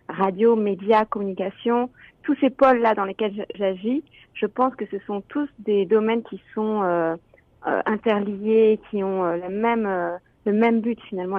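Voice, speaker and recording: A woman's voice: mid-range voice, fairly even intonation, normal speed, no echo. A young woman from France speaks as a guest on a radio show, earnest and full of hesitations. Her voice comes through a narrow, tinny phone line. The sound is dry, with no room around it. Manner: earnest, many fillers. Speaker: teacher and education student, guest. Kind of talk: radio interview.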